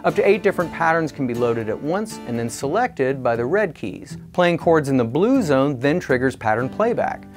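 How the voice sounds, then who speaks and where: A man delivers scripted narration for a gear review, his pitch moving in an animated way over music. He explains features clearly, in measured and orderly phrases. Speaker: product reviewer. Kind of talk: scripted product demo narration. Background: music and instrument demos.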